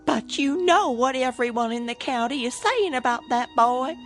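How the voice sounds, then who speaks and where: Casting: anxious country mom, rural American accent, higher voice, radio play with faint music behind. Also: female voice, animated, normal speed. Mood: anxious, scolding. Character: fretful, protective rural mother. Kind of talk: radio drama acting.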